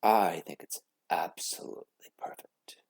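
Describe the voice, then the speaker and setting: A man voicing a kind, playful woman music teacher in a storybook, reassuring and knowing, with measured delivery. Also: sits low, in a whisper, voice pushed down. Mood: gently reassuring.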